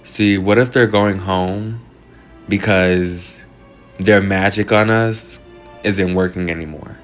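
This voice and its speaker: A man's voice: low-pitched, steady tone, takes it slowly, faint music behind. A man speaking in a musing, conspiratorial way in a casual monologue. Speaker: social media commentator.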